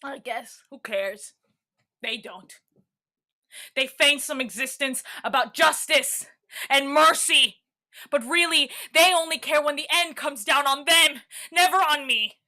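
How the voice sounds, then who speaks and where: Angry imprisoned woman in a stage play, really high forceful voice, swears, goes from muttering to yelling. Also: measured pace, exaggerated voice. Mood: anguished, bitter. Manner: profane, impassioned, swinging from muttering to shouting. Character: bitter, defiant imprisoned woman facing the end of the world. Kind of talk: theatrical staged-reading monologue.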